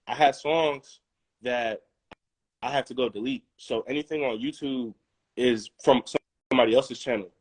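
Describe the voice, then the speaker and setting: A young man, a musician guest in a casual interview, telling his story in a halting way full of restarts and fillers. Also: mid-range voice, dry recording, low-quality recording.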